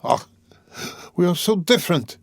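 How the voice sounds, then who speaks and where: A man voicing an anguished, bitter young murderer in a dramatic audiobook reading, agitated, brooding and halting as he confesses. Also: mid-range voice, a little mellow, no echo, clean studio sound, voice pushed up, lightly acted. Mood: despairing. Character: anguished, guilt-ridden young male murderer confessing, bitter and self-loathing.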